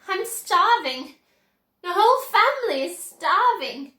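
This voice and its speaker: Woman doing an indignant, high-pitched old grandmother. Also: very animated, slow, pushed and bright, full character voice. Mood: complaining. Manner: querulous, dramatic, exclamatory. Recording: roomy, echoing indoor sound.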